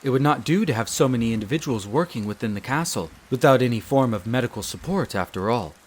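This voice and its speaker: Casting: male actor for a devoted wolf butler, head servant of a castle. Formal, polite, measured delivery, mid-range pitch, fantasy audio drama. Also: expressive, natural voice. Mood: composed, reassuring. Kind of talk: scripted roleplay audio drama.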